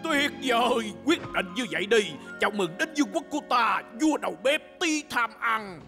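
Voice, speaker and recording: A greedy, self-important dwarf king from a kids' cartoon. His voice swoops high and grand with drawn-out words, over faint music in a long reverb. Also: lively intonation, bright, forceful tone, exaggerated voice. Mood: grandly flattering. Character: gluttonous, pompous dwarf king, doting on food. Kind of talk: dubbed children's cartoon acting.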